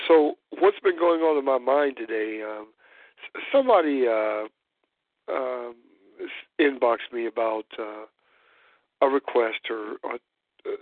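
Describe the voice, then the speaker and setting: A hoarse, congested man hosting a church call-in roundtable, speaking haltingly with many fillers. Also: medium pitch, no echo. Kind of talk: hesitant spoken discussion. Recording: dry tinny phone line.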